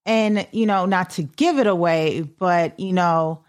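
A woman co-hosting a casual interview, building her points in short, halting conversational phrases. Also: on the low side. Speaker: podcast co-host.

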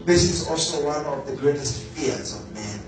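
Male preacher, measured emphatic delivery; distant mic in a very reverberant room. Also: medium pitch. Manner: slow, emphatic, repeats key words. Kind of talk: sermon. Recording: very echoey room, voice from afar, faint music.

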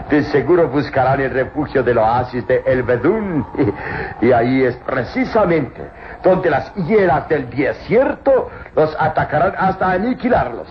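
An actor playing a cruel, arrogant villain in an old radio serial, mocking and gloating with theatrical exclamations. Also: male voice, medium pitch, expressive, slow. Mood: gloating menace. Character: sneering, cruel male villain, arrogant tomb-robbing master of slaves. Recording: muffled, noisy old radio drama recording.

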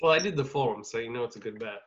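A man's voice: medium pitch, animated, fast. A man hosting a casual podcast, swearing freely and laughing as he reads out betting odds. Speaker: podcast host taking bets. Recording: dull lo-fi sound with faint noise.

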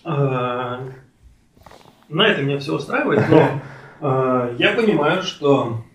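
A man in his late twenties in casual podcast conversation, speaking in a halting, hesitant way with many pauses. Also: a little high, lively intonation, a bit bright, recorded from a distance. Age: late 20s, turning thirty. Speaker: podcast participant. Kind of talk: casual conversation about personal life. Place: friend's home, indoors. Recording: echoey room, off-mic, faint noise.

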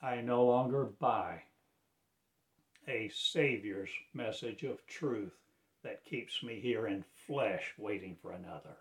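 A man delivering a slow, solemn, declamatory sermon as a self-proclaimed prophet, with sudden loud swells and hushed drops. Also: lowish voice. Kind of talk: rambling prophetic monologue.

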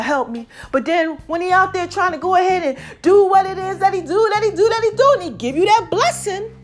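A woman with a slightly raspy voice, chatting casually and preaching to her followers, calling herself Mama. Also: high-pitched. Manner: animated, calls herself Mama, addresses viewers as y'all. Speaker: online commentator.

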